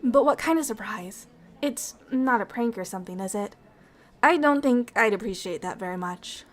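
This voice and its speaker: A woman playing a bubbly, affectionate alien ambassador in an ASMR roleplay, curious about humans, with expressive, earnest and slightly formal speech. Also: medium pitch, lightly acted. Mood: curious, wary. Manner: expressive, earnest, slightly formal wording, halting when moved. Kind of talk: ASMR roleplay acting, intimate one-sided dialogue.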